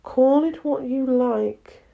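A languid, slightly mad storybook cat, played by a woman, draws out every word at a very slow pace. The pitch sits on the high side, and the delivery is unhurried and teasingly calm. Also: vocal fry, phone-quality sound, heavily acted. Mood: languid, musing. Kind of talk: storybook character reading.

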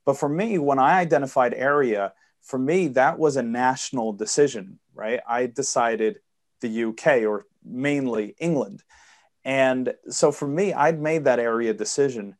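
A man's voice: mid-range voice, unhurried. A man from Sweden with a Swedish-American accent, a guest on a podcast interview, explaining at length and fluently with frequent fillers. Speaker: guest investor.

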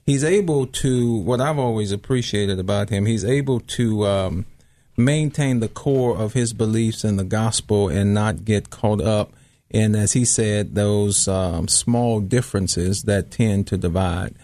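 Low-voiced pastor, dry close sound. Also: male voice, measured pace, mellow, dry recording. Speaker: pastor, guest. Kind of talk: casual interview talk. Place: studio room.

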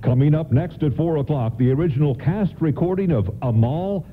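A man's voice: on the high side, expressive, brisk, a little hiss or hum, lightly acted. A man performing as a storytelling narrator and announcer in a scripted radio drama. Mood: formal, announcing. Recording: narrow phone-like radio sound.

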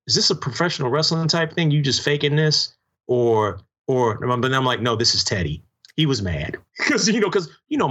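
A man co-hosting a casual music podcast, telling stories with emphatic, drawn-out points. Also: mid-range voice, a bit bright, husky. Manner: emphatic, drawn-out listing, storytelling. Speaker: podcast co-host. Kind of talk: casual chat.

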